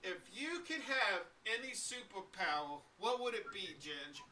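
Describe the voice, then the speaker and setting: Bright rambling man in his forties. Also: high-pitched, big pitch swings, a little slow, recorded from a distance, slight background noise. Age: 40s. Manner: rambling, lots of fillers and chuckles. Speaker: podcast host. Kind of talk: casual live-stream chat.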